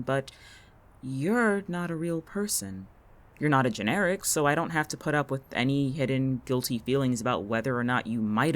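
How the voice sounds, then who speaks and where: Audio drama role for an actor: sardonic, calculating town local, sharp-tongued and irritable, animated in pitch. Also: lightly acted. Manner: biting, sarcastic, dry-witted.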